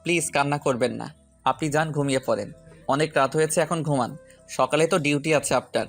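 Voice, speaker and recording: A man's voice: a little high, fairly quick, lightly acted. Man acting a polite, protesting young man, music behind. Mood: pleading. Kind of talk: audio love story dialogue.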